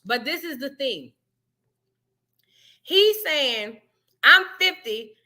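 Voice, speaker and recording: A woman's voice: sits high, expressive, extremely slow, pushed and bright. A woman giving blunt, questioning commentary as she reacts to a clip.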